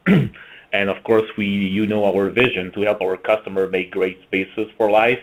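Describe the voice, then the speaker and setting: A man with a French-Canadian accent presenting a business webinar, halting with frequent fillers and throat clearing. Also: on the low side, a bit bright, poor audio. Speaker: marketing executive presenting a webinar.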